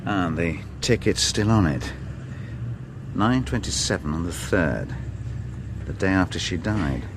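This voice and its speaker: An actor playing a middle-aged police detective, wry and musing, in a conversational radio drama style. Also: male voice, low-pitched, lively intonation, measured pace, noisy background. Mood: brisk, pondering.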